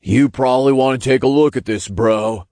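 A man's voice: medium pitch, normal speed, mellow, dry recording, natural voice. Man doing a whiny slacker imp bro. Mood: nervous. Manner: whiny, slangy bro talk.

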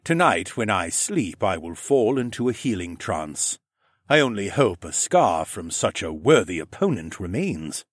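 Audiobook fantasy role: proud lizard-man regent, acted by a man, solemn and formal, rumbling, very dry sound. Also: sing-song, no echo. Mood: stoic. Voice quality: deep, rumbling. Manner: grave, formal, measured. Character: stoic, honor-bound reptilian dino man warrior regent.

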